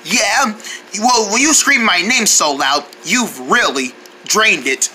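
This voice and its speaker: A man plays a calm cartoon mother, speaking slowly and much lower than a squeaky child. The manner is soothing with a chiding edge, over faint background noise. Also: full character voice. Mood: scolding.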